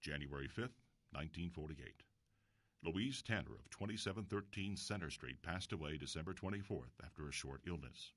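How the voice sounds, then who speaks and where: A man playing an earnest, inquisitive investigator in an audio drama, telling a mystery in a measured storytelling way. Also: sits low, animated, a bit bright, no echo. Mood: reflective. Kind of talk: audio drama acting with first-person narration.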